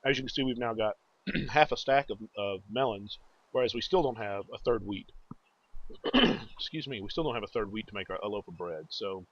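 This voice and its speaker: A man's voice: lowish voice, moderate pace. A man giving casual gameplay commentary as a let's play host, thinking aloud and talking to viewers. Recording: dull, noisy home recording.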